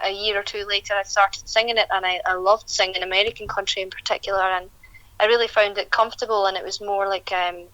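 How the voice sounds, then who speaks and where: A woman's voice: medium pitch, bright, forceful tone, no room sound, muffled recording. A young woman, a country singer chatting at length in an interview, often restarting her sentences. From Scotland.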